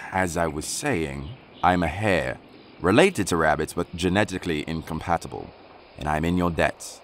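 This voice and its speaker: A man's voice: sits low, expressive, a little slow, creaky, slight background noise, exaggerated voice. A man playing a grumpy, proud talking hare in a radio play, with pompous, old-fashioned formal phrasing. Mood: indignant, pompous.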